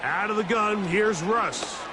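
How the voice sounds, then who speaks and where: A man's voice: high-pitched, slow. A man calling football play-by-play in punchy, clipped announcer phrases. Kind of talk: sports game commentary. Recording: loud crowd and stadium noise. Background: roaring crowd, game ambience.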